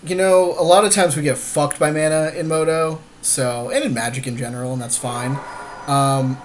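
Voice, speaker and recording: A man's voice: on the high side, steady tone, normal speed. A man co-hosting a podcast, chatting casually as he plays along with a game. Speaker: podcast co-host. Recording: clearly noisy home recording.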